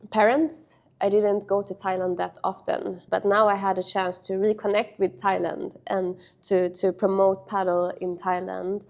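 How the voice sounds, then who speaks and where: A young adult woman who grew up in Sweden, speaking as an interview guest in halting sentences full of fillers and restarts. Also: mid-range voice, a little mellow. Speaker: interview guest, padel player. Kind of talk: casual interview.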